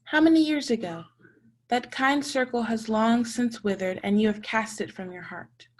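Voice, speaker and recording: A woman's voice: fairly high pitch, moderate pace, lightly acted. A young woman acting a stern, scornful spirit in a radio play, with solemn, declamatory delivery. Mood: stern, commanding.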